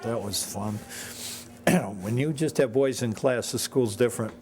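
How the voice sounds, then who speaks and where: A man in his seventies with an American accent, a teacher reminiscing casually in a folksy, halting way with short phrases. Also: mid-range voice, darkish tone, a little hiss or hum. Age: 70s. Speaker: high school teacher.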